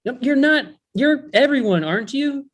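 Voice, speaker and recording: An actor playing an exasperated, earnest, jealous male elf in a comedy table read, indignant and halting. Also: high voice, lively intonation.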